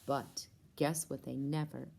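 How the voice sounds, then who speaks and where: A woman's voice: extremely low pitch, steady tone, measured pace, creaky, warm tone, husky. A woman storyteller narrating a children's puppet show.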